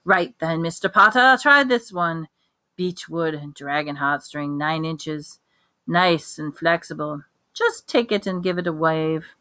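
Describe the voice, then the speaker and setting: An eccentric old man who sells wands, voiced by a woman reading a story. He drawls in a lilting way, then jabs with sudden sharp emphasis, and he probes every word with a bright, forceful tone. The sound is dry and close. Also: low-pitched, unhurried, no room sound. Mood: eager. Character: eccentric old male wandmaker, intense and probing.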